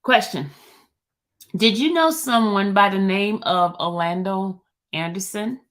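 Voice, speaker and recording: A woman reading a male prosecutor's questions aloud in a formal, measured manner. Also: medium pitch, fairly bright tone.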